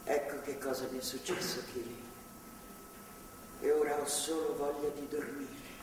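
A woman's voice: deep voice, flat delivery, moderate pace, close to an everyday voice. An older actress playing a motherly, stubborn, devout captor in a stage drama, speaking earnestly and patiently. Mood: grim, grieving. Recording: echoey hall from far off, clear noise.

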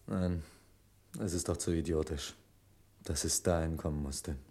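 An actor playing a desperate, guilt-ridden man hiding a crime in a vintage radio crime play, with a husky, weary delivery. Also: rumbling low, steady tone, a little slow, dark tone. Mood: despairing confession.

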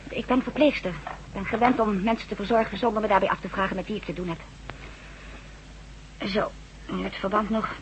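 Woman acting a brisk nurse, noisy radio recording. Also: mid-range voice, fairly even intonation, natural voice. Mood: matter-of-fact. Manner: matter-of-fact, soothing, firm. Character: practical, brisk, caring nurse.

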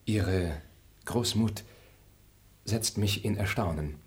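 An actor playing a dignified young male traveller who speaks in a calm, measured, courteous way. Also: low-pitched. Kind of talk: radio play acting.

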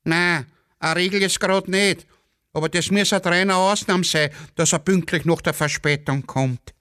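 A man's voice: sits high, fairly even intonation, unhurried, bright, forceful tone, no room sound, voice pushed up. A man voicing a muddled, evasive Viennese railway porter who grumbles long-winded excuses in dialect. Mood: shrugging.

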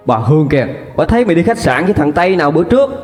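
A man's voice: sing-song, darkish tone. A man voicing an enraged middle-aged mother, berating her daughter. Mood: enraged scolding. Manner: shrill, berating, fast. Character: furious middle-aged mother, scolding and shaming. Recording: reverberant with background music.

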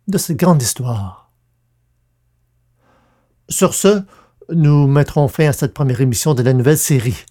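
Male podcast host, slightly high register, scripted announcements. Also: a little mellow.

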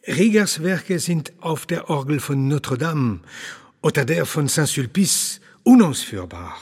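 An actor playing a learned, earnest Alsatian doctor and organist in a German radio play, lecturing emphatically in a husky voice. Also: male voice, a little high, big-room sound, full character voice.

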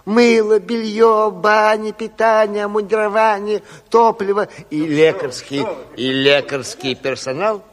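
An actor playing a flustered, long-winded educated health official, hesitant and pleading, in a Soviet radio play. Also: male voice, high voice, a little slow, few pauses. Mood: grave, alarmed. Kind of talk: 1970s Soviet radio drama acting. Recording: reverberant hall, old radio play recording.

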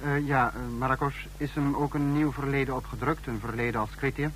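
A man acting as a regime leader in a radio play, mid-pitched and measured. He is terse and probing, with quiet authority, and there is faint noise behind him. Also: steady tone, lightly acted, rough recording. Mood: questioning, authoritative. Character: questioning male regime leader, the comrade protector, measured authority. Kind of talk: radio drama acting in Dutch.

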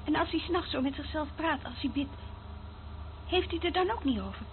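An actress playing a young, curious, uneasy trainee in a radio play, hesitant and full of earnest questions. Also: female voice, sits high, lively intonation, brisk, slight background noise. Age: young adult. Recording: tinny band-limited old radio recording.

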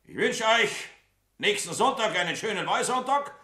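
An actor playing a self-important populist politician in a German radio play, declaiming emphatically with a Bavarian accent in an echoey room. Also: male voice, sits high, expressive, normal speed, pushed and bright. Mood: rousing, self-satisfied.

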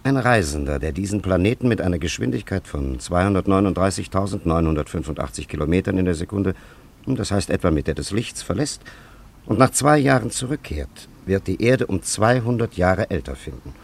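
A man's voice: deep voice, expressive, a little fast, vocal fry, heavily acted. An actor playing a haughty time traveller from an ancient kingdom in a German radio play, condescending and pompous in his declamatory delivery.

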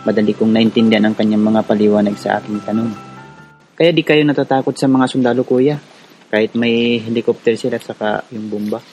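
A man's voice: fairly low pitch, steady tone, a little fast, darkish tone, lightly acted. Man acting as polite young Filipino villager over music. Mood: polite, curious. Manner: respectful, polite. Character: earnest, curious, polite poor rural young man recounting his past. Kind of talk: radio drama acting and narration in Tagalog.

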